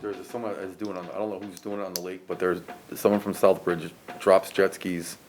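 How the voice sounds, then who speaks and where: Deep-voiced man reporting at a meeting. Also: level tone, slight background noise. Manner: halting, repeats words. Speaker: commission member. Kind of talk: meeting discussion.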